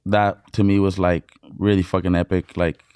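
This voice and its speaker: A man from Mexicali, a DJ guest on an interview show, telling stories in excited, casual talk full of filler words and swearing. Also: low voice, moderate pace, clean tone, no echo, studio quality. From Mexicali, Baja California, Mexico.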